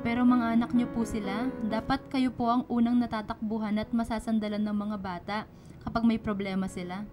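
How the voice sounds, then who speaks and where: Woman doing a gently firm single mom, radio drama, pretty high voice, music under it. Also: level tone, fairly quick, lightly acted. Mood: earnest, concerned. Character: caring single mother, gently firm, earnest factory worker.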